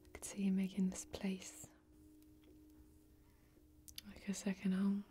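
Woman acting a whispery, mellow, kindly warrior woman. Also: mid-range voice, completely flat delivery, faint music behind, lightly acted. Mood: admiring, tender. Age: young adult. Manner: whispery, gentle, folksy, reassuring, slow with pauses. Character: kindly, protective woman warrior of a fantasy land. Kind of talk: soft-spoken ASMR fantasy role-play.

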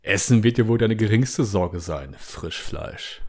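An actor playing a menacing, scornful prison guard with a husky voice in a parody audio play. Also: male voice, lowish voice, measured pace, noisy background, lightly acted.

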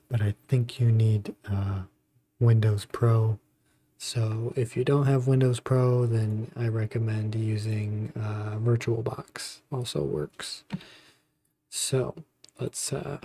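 A man hosting a casual software walkthrough, speaking in a halting, informal way. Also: mid-range voice, flat delivery. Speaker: tutorial host. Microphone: close budget desktop microphone.

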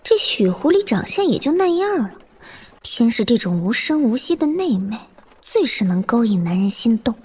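A woman's voice: fairly high pitch, big pitch swings, moderate pace, darkish tone, husky. An actress playing a pampered, coy and jealous young noblewoman in a Chinese audio drama. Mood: spiteful. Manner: coy, girlish chatter.

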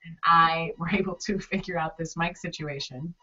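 A woman's voice: deep voice, fairly even intonation, normal speed, bright, forceful tone. A young woman hosting a casual interview over a video call from her bedroom, bubbly and gushing. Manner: bubbly, gushing, lots of fillers. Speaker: interviewer host. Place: small bedroom, indoors. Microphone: video call webcam. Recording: distant, dull webcam audio.

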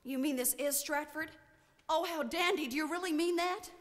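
A man putting on a high-pitched, gushing young American woman. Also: normal speed, fairly bright tone. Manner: breathless, melodramatic, effusive. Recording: long hall reverb.

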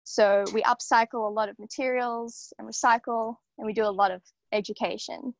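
A woman's voice: on the high side, fairly even intonation, normal speed, vocal fry, poor audio. A fifteen-year-old girl, casually introducing herself as a panelist on a video call. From Australia. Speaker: panelist, young activist. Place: inside a boat. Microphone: wired earphones on video call.

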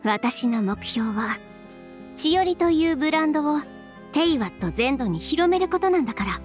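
Game casting: confident young woman designer, high and expressive, brisk, dryly frank, no-nonsense. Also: soft background music. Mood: businesslike, frank. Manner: matter-of-fact, decisive, frank. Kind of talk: Japanese anime game voice acting.

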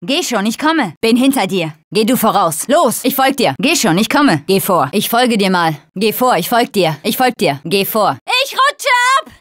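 A woman's voice: medium pitch, sing-song, normal speed, few pauses, fairly bright tone, no room sound, clean studio sound. An actress playing a tough young woman survivor in a dubbed zombie video game, speaking in short, urgent callouts. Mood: calm, reassuring, then panicked.

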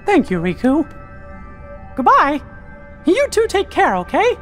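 A man voicing a small, kindly, chipper mouse leader in a squeaky falsetto for a cartoon-style video game. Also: wildly swooping pitch, a little slow, heavily acted. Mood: fond farewell. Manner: gentle, upbeat. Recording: game music underneath.

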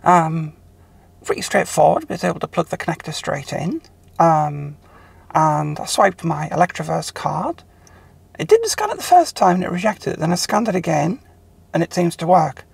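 A woman's voice: fairly low pitch, sing-song, moderate pace, gravelly, darkish tone, breathy edge, dry recording. A middle-aged British woman chatting casually and a little hesitantly to camera as a vlogger inside a car. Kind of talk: casual vlog talk to camera. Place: inside a car, parked or driving. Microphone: camera's built-in mic or camera at arm's length. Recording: distant built-in camera mic in car.

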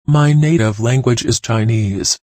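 Man, mid-pitched voice, dry close sound, measured phrase reading. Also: darkish tone, no echo. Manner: clear, measured, one sentence at a time. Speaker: phrase reader.

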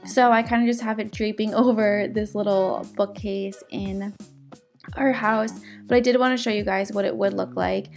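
A woman's voice: medium pitch, fairly even intonation, normal speed, vocal fry, a little mellow, soft background music. A woman doing a casual, chatty crafting voice-over for her vlog. She is sick, and her voice cracks now and then. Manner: chatty, upbeat, fillers. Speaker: DIY vlogger. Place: home, indoors.

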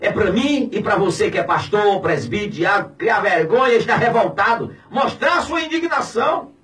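Indignant Brazilian man in his fifties, high and wildly swinging voice, a little distant from the mic, radio studio. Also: expressive, moderate pace. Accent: Brazilian Portuguese. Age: 50s. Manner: indignant, preaching, alternately shouting and dropping low. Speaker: pastor. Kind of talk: impassioned radio commentary. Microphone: studio mic on a boom, filmed from a distance.

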